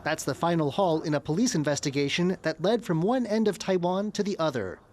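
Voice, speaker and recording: Lively male news reporter voice-over. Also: a little high.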